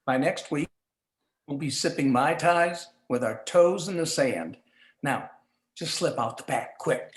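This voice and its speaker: A man's voice: on the high side, animated, unhurried. A man playing a crooked police chief in a noir radio play, with a clipped, businesslike manner. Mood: conspiratorial. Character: crooked police chief, curt and smooth-talking schemer. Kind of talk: 1940s noir radio acting, live community theatre. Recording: roomy, echoing live stage recording.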